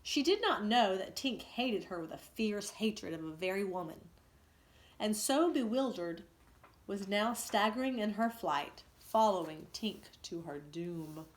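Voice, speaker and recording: A woman teacher reading a story aloud expressively to students, pausing to ask them questions. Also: mid-range voice, expressive, low-quality recording.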